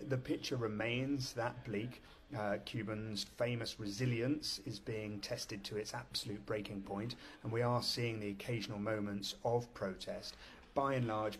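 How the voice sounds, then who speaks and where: A male foreign correspondent with a fairly low voice, reporting live down the line from a city. He talks in a measured, thoughtful way and often restarts a phrase before finishing it. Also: slight background noise. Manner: measured, frequent restarts and fillers. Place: on location in a city.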